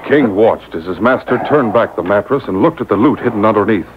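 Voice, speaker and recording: A man's voice: animated, fairly quick. A man narrating and announcing an old radio adventure serial in scripted, vintage broadcast style. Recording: tinny, faintly noisy boombox taping of radio.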